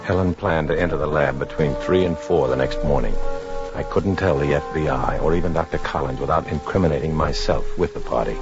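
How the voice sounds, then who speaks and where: Tough undercover agent narrating old 50s radio thriller, super low voice, clipped n tense, muffled w music. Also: male voice, fairly quick. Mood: tense, hushed. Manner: clipped, dramatic, hard-boiled delivery. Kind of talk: 1950s radio drama narration.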